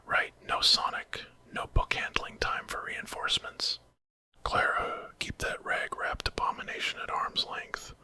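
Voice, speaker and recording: A man acts out a resourceful, eccentric male time traveler in an ASMR story. He is brisk and commanding. Every word is a bright, breathy whisper. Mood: urgent.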